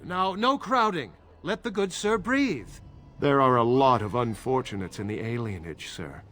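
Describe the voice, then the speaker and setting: A man's voice: mid-range voice, lively intonation, moderate pace, fairly bright tone, close to an everyday voice. A man acting as an ingratiating street beggar in a video game, pleading obsequiously and playing up his hardship. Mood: wheedling.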